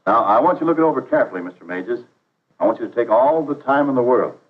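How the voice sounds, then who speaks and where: Male actor as persuasive 1950s travelling salesman, courteous, confident, animated intonation, training film drama, dull vintage sound. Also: mid-range voice, rapid-fire, natural voice. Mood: confident persuasion. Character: earnest, polite, persuasive travelling salesman, adult man. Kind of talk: 1950s instructional film acting.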